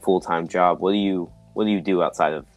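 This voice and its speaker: Very deep-voiced upbeat podcast host. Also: male voice, steady tone, a little fast, soft background music. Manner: upbeat, encouraging, repeats words of approval. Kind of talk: casual podcast interview.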